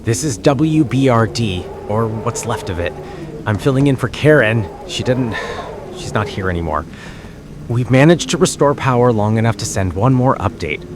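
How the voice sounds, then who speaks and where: A man playing a weary small-town radio announcer giving grave, halting emergency broadcasts in a zombie apocalypse drama. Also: medium pitch, expressive, lightly acted. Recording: noisy radio broadcast ambience.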